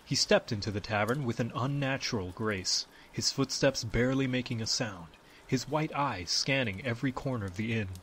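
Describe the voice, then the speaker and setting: A man narrating a scripted fantasy story as the storyteller, with dramatic, deliberate phrasing. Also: mid-range voice, a bit bright, a little hiss or hum.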